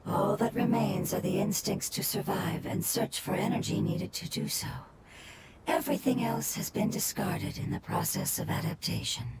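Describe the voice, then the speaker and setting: A woman voicing a game character who explains things calmly and sorrowfully. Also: medium pitch, normal speed, gravelly, heavy background noise.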